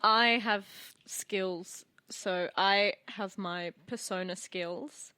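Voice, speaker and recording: A woman's voice: medium pitch, fairly even intonation, drawn-out words, sharp tone, clean tone, no echo. A woman talking casually as a player in a tabletop roleplaying game.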